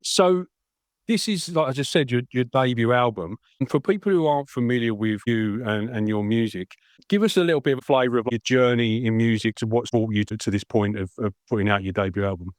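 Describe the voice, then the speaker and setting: A man's voice: medium pitch, brisk, dry recording. A man hosting a podcast interview, effusive and enthusiastic, chatting in a friendly way. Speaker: podcast and radio host.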